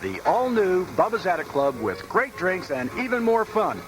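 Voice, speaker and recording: A man's voice: high voice, big pitch swings, normal speed, low-quality recording. A man reading an upbeat local restaurant commercial on the radio. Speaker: radio ad announcer. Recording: taped local radio ad, music bed.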